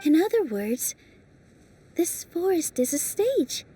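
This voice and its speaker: A woman voicing a graceful young dancer in a video game, soft, thoughtful and playful. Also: very high-pitched, sing-song, slow, recorded from a distance, a little hiss or hum, full character voice. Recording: voice set back from mic, faint game music.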